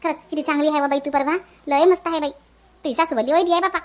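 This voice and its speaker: Woman as village busybody auntie, very high pitch, Varhadi Marathi accent, blunt, gossiping, comic family cartoon. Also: steady tone, fast talker, lightly acted. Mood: nosy, mocking. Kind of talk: animated family comedy dialogue.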